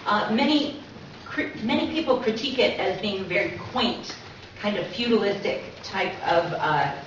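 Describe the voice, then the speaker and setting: A woman giving an explanatory public lecture as co-presenter, checking in with listeners as she goes. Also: lowish voice. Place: echoey indoor lecture room. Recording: very echoey room, distant mic, muffled, noisy.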